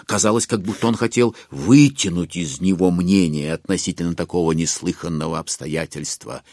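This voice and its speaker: A man narrating a literary audio drama in an expressive, wry storytelling style. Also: low voice, normal speed, no room sound, clean studio sound.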